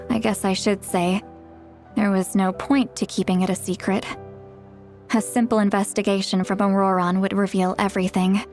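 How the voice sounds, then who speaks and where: A woman's voice: medium pitch, darkish tone, lightly acted. An actress playing a young woman warrior in an English anime game dub, with an earnest, candid and sincere delivery.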